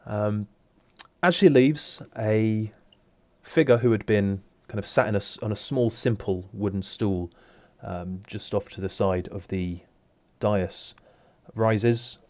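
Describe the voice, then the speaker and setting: A man's voice: fairly low pitch, slow pace, darkish tone, no echo. A man narrating a tabletop game as game master, casual and hesitant with many fillers.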